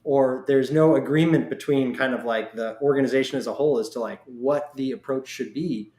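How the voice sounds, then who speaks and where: A man's voice: medium pitch, fairly quick, a little mellow, echoey room. A man hosting a casual conversational podcast, talking informally and often acting out short quotes. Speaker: podcast host.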